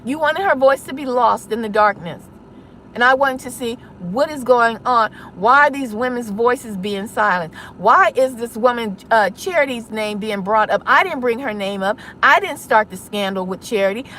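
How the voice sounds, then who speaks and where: High-pitched, insistent woman over background noise. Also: expressive, normal speed, fairly bright tone. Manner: insistent, confrontational, rhetorical questioning. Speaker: online content creator. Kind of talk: impassioned public address to viewers.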